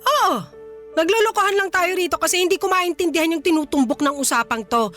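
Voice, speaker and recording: A woman's voice: very high voice, a little fast, a bit bright. An actress with a Filipino accent playing a stern, resentful mother of a grown son, scolding and exasperated in radio drama style. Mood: irritated. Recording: faint music bed.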